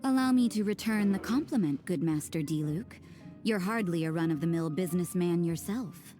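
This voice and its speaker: A woman's voice: lowish voice, normal speed, dark tone, faint music behind, natural voice. A woman voicing a cool, cynical nun in a video game, dry, aloof and unimpressed.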